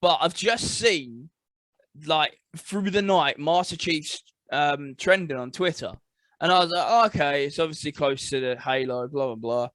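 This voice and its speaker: A man's voice: fairly high pitch, measured pace, a bit bright, dry recording. A man with an English accent co-hosting a gaming podcast over a video call, enthusiastic and repeating phrases for emphasis. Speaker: podcast co-host. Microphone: remote call mic.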